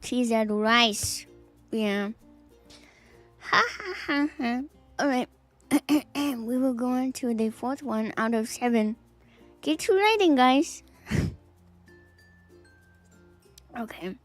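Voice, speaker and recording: A woman's voice: a little high, lively intonation, takes it slowly, pauses often, faint music behind. A woman streamer reading viewer fanfics aloud on a live stream, playful and giggly, with teasing asides.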